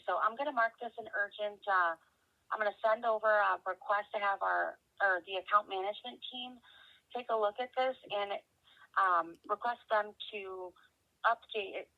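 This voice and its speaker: A woman working as a customer service representative on a telephone call, speaking haltingly as she thinks aloud and checks details. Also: medium pitch. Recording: dull, band-limited telephone line.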